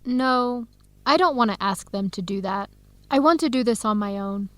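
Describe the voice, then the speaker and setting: A woman playing a determined young American woman in an English-learning radio drama, speaking in clear, simple scripted sentences with an American accent. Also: fairly high pitch, normal speed, a bit bright, dry recording, lightly acted. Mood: resolute. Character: Helen, determined young American woman searching for birth family.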